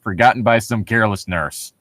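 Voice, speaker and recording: A man's voice: on the low side, a little fast, fairly bright tone, no room sound. A man casually riffing and reading aloud in a jokey, sarcastic manner. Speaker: host of a creepypasta reading show.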